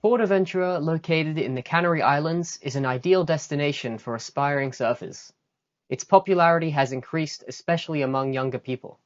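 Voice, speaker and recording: A news presenter reading scripted narration in an even manner. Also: mid-range voice, brisk, faint music behind. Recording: dull lo-fi sound.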